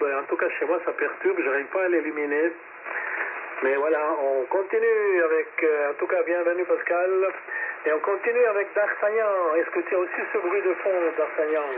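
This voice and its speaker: A man running a ham radio net, his voice sitting a bit high. He talks haltingly with lots of filler sounds and spells out call signs. The sound comes over a thin, phone-like radio band with clear static hiss. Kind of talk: ham radio net chat, switching languages. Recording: tinny shortwave radio with static.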